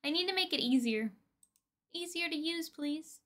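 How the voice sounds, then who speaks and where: A young woman hosting a livestream, chatting casually with viewers in a playful, slangy, expressive way. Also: sits high, normal speed. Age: young adult. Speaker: livestream host. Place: indoor room with desk and shelves of collectibles.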